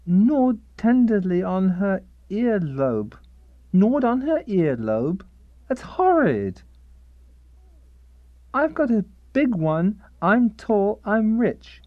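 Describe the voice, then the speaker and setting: A woman's voice: mid-range voice, very animated, no echo, phone-quality sound. A woman playing a literal-minded reader in a radio comedy, stumbling as she sounds out words of romance fiction. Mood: puzzled, impatient. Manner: halting reading, sounding words out.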